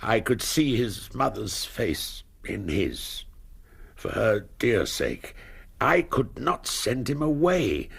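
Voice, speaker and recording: An anguished English nobleman in a period radio drama. His upper-class voice is gravelly and husky, swinging widely in pitch. He speaks formally and gravely, halting under the strain of his pride. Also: sing-song, a bit bright, heavily acted. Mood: sorrowful confession.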